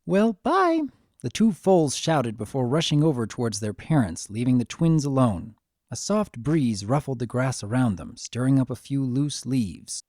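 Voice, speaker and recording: A man reading a scripted story as narrator, with a gentle, expressive dramatic delivery. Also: medium pitch, normal speed, no echo, clean studio sound.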